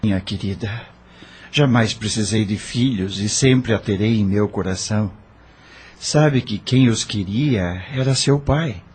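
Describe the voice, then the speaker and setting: An actor in an audio drama playing a devoted, emotional husband with a husky, breathy voice and a slow, heartfelt delivery. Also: male voice, lowish voice, a little mellow. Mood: tender.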